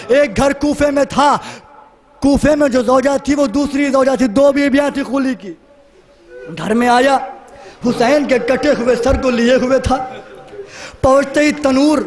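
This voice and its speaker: A man delivering an emotional mourning sermon, telling a sacred story in an impassioned, lamenting and dramatic way. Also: very high-pitched. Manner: impassioned, lamenting, acting out dialogue within narration. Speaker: religious orator leading a mourning gathering.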